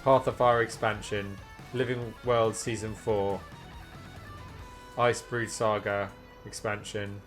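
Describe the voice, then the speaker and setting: A man's voice: on the low side, flat delivery, takes it slowly, pauses often, fairly bright tone. A man live-streaming a game, commenting casually as he plays, thinking aloud, asking questions and reading menu items out. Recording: music playing behind. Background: guitar music.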